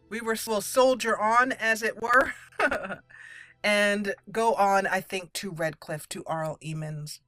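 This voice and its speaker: Woman doing a chatty let's play, lowish voice, thinking out loud, soft game music in back. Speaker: host of a game roleplay channel. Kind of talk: casual let's play commentary.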